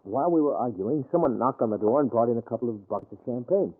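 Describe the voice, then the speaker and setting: An actor playing a troubled, bewildered murder suspect, earnest and uncertain, in an old-time radio crime drama. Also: male voice, medium pitch, animated, quick pace, lightly acted. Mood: troubled, recounting. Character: troubled, bewildered male murder suspect recounting his night. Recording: muffled, narrow-band vintage radio recording.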